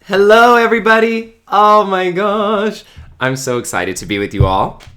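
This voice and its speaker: A man's voice: high voice, lively intonation, moderate pace, bright, forceful tone. A man in his early thirties hosting an informal online spiritual community gathering, talking effusively and repeating words for emphasis. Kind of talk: informal livestream talk to a community.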